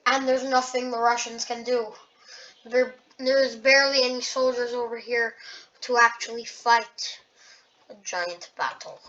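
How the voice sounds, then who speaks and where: A young female narrator giving casual play-by-play of a map war game, with frequent halting restarts. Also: a little high, fairly even intonation, a bit bright. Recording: dull lo-fi audio with clear background noise.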